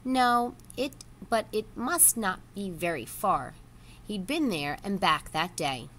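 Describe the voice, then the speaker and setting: A woman's voice: medium pitch, very animated, slow pace, a bit bright, a little hiss or hum, voice pushed up. A woman voicing a small, modest female animal in a storybook, speaking carefully and a little hesitantly. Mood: earnest, unsure. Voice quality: small-sounding.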